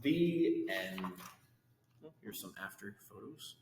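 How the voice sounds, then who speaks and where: A man's voice: fairly low pitch, animated, takes it slowly, darkish tone, slight background noise. A man reading a children's storybook aloud, with casual asides about the pictures. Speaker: reader of a children's book. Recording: echoey room with long reverb.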